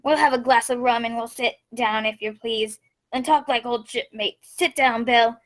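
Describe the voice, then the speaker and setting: A woman's voice: a little high, steady tone, moderate pace, natural voice. A woman playing a sly, wheedling male pirate with rustic seafarer speech in a radio play. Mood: bossy, falsely friendly.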